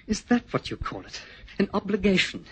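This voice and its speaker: A man's voice: sits high, big pitch swings, brisk, slight background noise. An actor playing a sardonic, lonely young Englishman with a sneering, mocking delivery. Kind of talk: stage drama acting.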